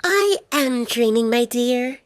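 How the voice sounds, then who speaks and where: A woman performs a boy-crazy kitchen girl of twenty in an audio drama. She is playful and sing-song, pitched well up and very animated, in a close, dry sound. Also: takes it slowly, dry recording. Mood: teasing. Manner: teasing, gushing, sing-song. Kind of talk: audio drama character acting.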